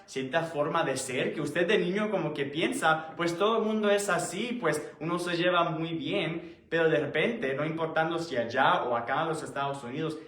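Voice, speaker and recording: Echoey, higher-voiced man teaching. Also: a bit bright. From born in Puerto Rico, raised in the United States. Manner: explanatory, halting, rephrases often. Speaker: Bible study teacher. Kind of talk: conversational sermon, Bible study teaching. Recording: roomy, echoing sound.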